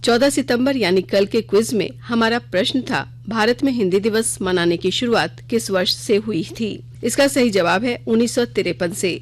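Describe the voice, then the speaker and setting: A woman's voice: a little high, normal speed, few pauses. A woman news reader delivering a scripted radio bulletin in a formal news-reading style.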